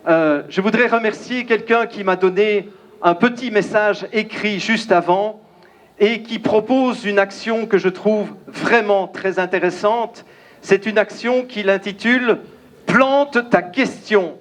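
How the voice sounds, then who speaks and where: Emphatic Belgian man addressing a rally. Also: high-pitched. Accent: Belgian French. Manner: emphatic, declamatory, pausing often. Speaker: rally speaker. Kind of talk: outdoor rally speech. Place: outdoor crowd gathering.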